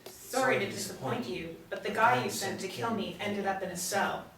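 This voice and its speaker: A woman's voice: medium pitch, normal speed, vocal fry, fairly bright tone. A woman playing a cool, taunting government agent in a script table read. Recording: very echoey room, distant mic.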